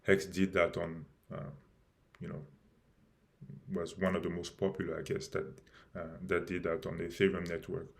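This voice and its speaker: A man hosting a live stream about his project, speaking haltingly with many fillers and restarts, and losing his voice a little. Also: sits low, completely flat delivery, vocal fry. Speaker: crypto project host.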